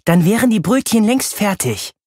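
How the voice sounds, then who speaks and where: A man's voice: high-pitched, sing-song, moderate pace, a little mellow, no room sound, clean studio sound, voice pushed up. A man voicing a sarcastic, nagging teenage superhero sister with a snide delivery in an audiobook. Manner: snide, hissing.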